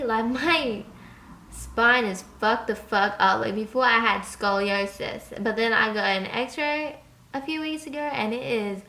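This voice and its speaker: A woman's voice: on the high side, bright, forceful tone, roomy sound, a little hiss or hum. An eighteen-year-old woman rambling candidly in an unscripted vlog from her bedroom, swearing freely and trailing off. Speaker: vlogger.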